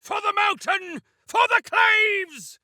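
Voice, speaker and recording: An actor playing a defiant, feisty old exiled warrior-engineer in a video game, gravelly and theatrical, with grandiose, archaic turns of phrase. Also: male voice, very high voice, animated, slow pace, bright, forceful tone, no echo, raised voice, clean studio sound, full character voice. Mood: defiant, fiery. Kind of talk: video game character acting.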